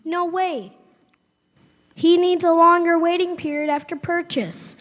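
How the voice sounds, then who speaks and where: Woman acting a very high, taunting talking bullet. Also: fairly even intonation, unhurried. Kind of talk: audio drama acting. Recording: long echoing reverb.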